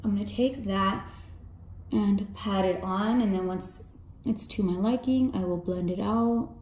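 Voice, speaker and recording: A young woman in her twenties, with a slightly raspy voice that is deep for a woman, chatting casually and candidly to her viewers while doing her makeup in a room at home. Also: a little slow, a little mellow, roomy sound, a little hiss or hum. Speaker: makeup artist and beauty vlogger. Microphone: camera in front, face framed close.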